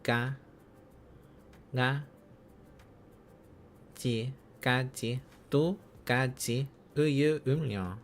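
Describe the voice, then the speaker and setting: A man chatting casually as a livestream host, musing aloud in halting, repeated phrases. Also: mid-range voice, a little hiss or hum. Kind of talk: casual livestream chat while learning a language.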